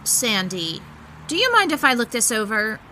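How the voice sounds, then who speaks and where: An actress playing a blunt, sarcastic and hot-tempered young punk musician in an audio drama. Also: female voice, on the high side, sing-song, normal speed, pushed and bright, slight background noise, poor audio. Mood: wary, exasperated. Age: young adult.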